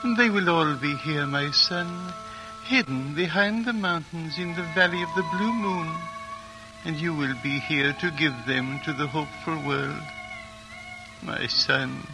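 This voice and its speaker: A very old, dying lama speaks in slow, grave, prophetic phrases. His voice is gravelly and a little high. Music plays beneath him on an old radio broadcast. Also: poor audio. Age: older. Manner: slow, grave, solemn pronouncements. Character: ancient dying male lama, solemn and prophetic. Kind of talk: 1940s radio acting.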